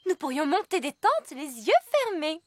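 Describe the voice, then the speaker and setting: A woman voicing a practical, reassuring elf mother in a cartoon, explaining things in an animated way. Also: high-pitched, normal speed. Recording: music underneath.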